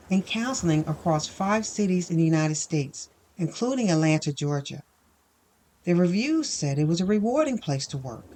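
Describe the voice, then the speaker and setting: A woman's voice: fairly low pitch, warm tone, low-quality recording. A woman playing an indignant, sardonic employee in a first-person audio fiction monologue, emphatic and wry. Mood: matter-of-fact, wry. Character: indignant, sardonic woman recounting workplace bullying.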